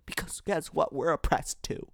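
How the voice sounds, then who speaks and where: A man mockingly voicing a preachy, self-important woman who gushes in a breathy, high-pitched way. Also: normal speed, no echo.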